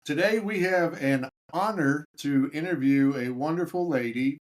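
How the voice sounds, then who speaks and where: A man's voice: fairly high pitch, moderate pace. Chatty man podcast host. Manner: chatty, with stumbles and repeated words. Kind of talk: casual podcast banter and interviewing.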